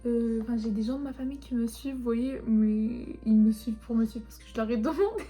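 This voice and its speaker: A young woman who grew up speaking Turkish, chatting casually and rambling softly, often half-whispering, as she shows her souvenirs. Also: fairly high pitch, brisk, soft background music. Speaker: vlogger. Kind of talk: casual chat showing souvenirs.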